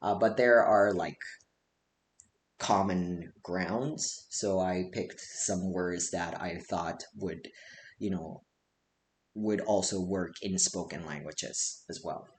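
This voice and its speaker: Deep-voiced man. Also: takes it slowly. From Japan. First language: Japanese. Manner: loose and conversational, frequent "like" fillers. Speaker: presenter. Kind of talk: casual spoken lecture. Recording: echoey room with faint hiss.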